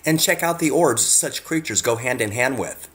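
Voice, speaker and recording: A man's voice: medium pitch, lively intonation, moderate pace, fairly bright tone. A man reading scripted narration in an earnest way, speaking directly to the viewer as he presents his work. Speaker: narrator presenting own photographs.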